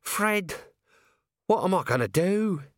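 A man's voice: high voice, lively intonation, slow, voice pushed up. A man voicing a shabby, self-pitying corporal of the watch in an audiobook, moaning glumly. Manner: moaning, glum, plaintive. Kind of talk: audiobook character acting.

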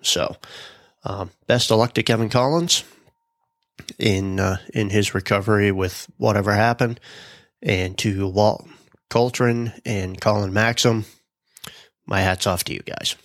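A man with a low, slightly congested voice talks through his podcast in an easygoing way, pausing on ums and uhs as he reads out notes. The sound is dry and close, with no room around it. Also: dry recording. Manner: relaxed, many ums and uhs, reads out minutes. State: stuffed up from a cold. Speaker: podcast host. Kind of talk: casual solo podcast talk.

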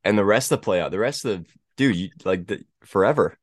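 A young man with a stuffy head cold, hosting a casual sports podcast and talking in halting bursts with many restarts. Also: on the low side, animated, dry recording, clean studio sound. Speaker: podcast host.

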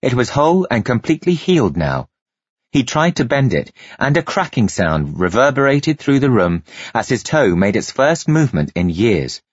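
Husky, expressive male audiobook narrator. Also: mid-range voice, normal speed, no room sound, lo-fi sound. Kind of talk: dramatic scripted narration.

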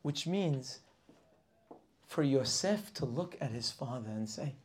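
A man's voice: medium pitch, lively intonation, slow, a little mellow, a little hiss or hum. A man teaching a weekly Torah class, preaching with passion, firing rhetorical questions and sometimes dropping to a near whisper.

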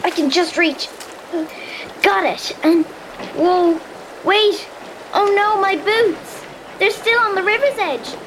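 A woman's voice: extremely high pitch, slow, pauses often, background noise. A woman playing an adventurous young girl with an Irish accent in a fairy-tale audio drama. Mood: breathless, determined.

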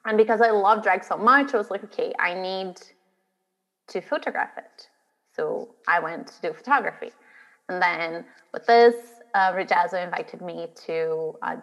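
A woman's voice: lowish voice, fairly bright tone, in a large hall, poor audio. A woman from Brazil, a drag artist and guest, telling her story in a chatty interview, laughing now and then. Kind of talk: interview chat.

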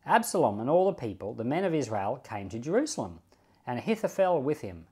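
A man reading scripture and giving informal devotional commentary in a measured, deliberate way, leaning on key words. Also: mid-range voice, very animated, a little mellow. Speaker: Bible reader and pastor giving commentary.

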